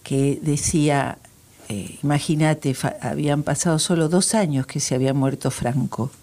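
A woman's voice: deep voice, steady tone, moderate pace, warm tone. A woman, a guest telling a personal story in an interview. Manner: reflective storytelling. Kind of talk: interview conversation.

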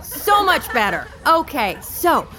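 An actress playing a perky, eager company tour guide talking to schoolkids in an audio drama, peppy and colloquial. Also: female voice, sits high, sing-song, slow, a crowd in the background, full character voice.

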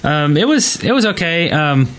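A man's voice: a little high, big pitch swings, normal speed, a bit bright. A man co-hosting a podcast in a casual conversation about online gaming. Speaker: podcast co-host.

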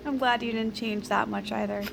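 A wry, wistful older widow in an audio drama. Her voice sits a little high, and she tells things deliberately. Clear ambient noise surrounds her. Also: fairly even intonation. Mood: dry, resigned.

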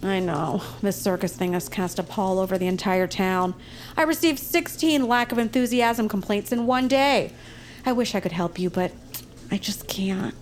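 A woman playing a brisk but sympathetic town council official in an audio drama, matter-of-fact and wry. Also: mid-range voice, lightly acted. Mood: sympathetic, sly. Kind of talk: family audio drama acting.

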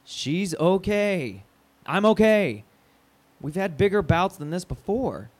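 An actor playing a placating, foul-mouthed radio station manager who talks in halting, cajoling corporate speak in an audio drama. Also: male voice, high-pitched, lively intonation, darkish tone, full character voice. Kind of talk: naturalistic audio drama acting.

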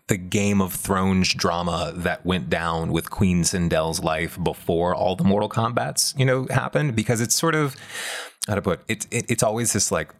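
A man co-hosting a casual discussion, giving candid, wry opinions in a conversational way. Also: low-pitched. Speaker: podcast co-host.

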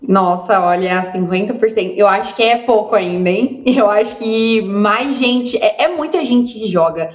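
A woman's voice: medium pitch, normal speed, few pauses. Young woman on an echoey lo-fi line. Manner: chatty, emotive, many fillers. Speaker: esports caster and presenter. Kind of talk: casual video call interview. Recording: echoey dull lo-fi sound.

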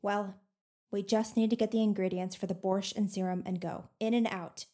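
A woman's voice: mid-range voice, fairly even intonation, moderate pace, mellow, lightly acted. An actress playing a sardonic, bossy girl in an audio drama. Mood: curt, businesslike. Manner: teasing, curt.

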